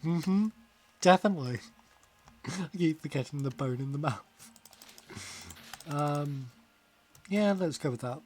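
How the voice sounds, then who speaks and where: A man's voice: a little high, slow, pauses often, a little mellow. A man chatting casually on a game stream, rambling, laughing often and reading chat aloud. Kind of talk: casual live-stream chat while gaming.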